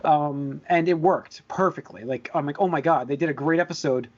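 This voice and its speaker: Man, podcast host, slightly high voice. Halting, hedging, conversational delivery. Dull, lo-fi sound. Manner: halting, hedging, repeats words. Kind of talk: casual chat about movies and shows. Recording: dull lo-fi voice recording.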